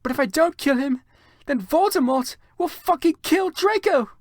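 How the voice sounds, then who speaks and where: A man voicing a whiny, melodramatic teenage goth vampire girl in a shrill, theatrical way. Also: expressive, normal speed, no echo, clean studio sound. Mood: tearful angry.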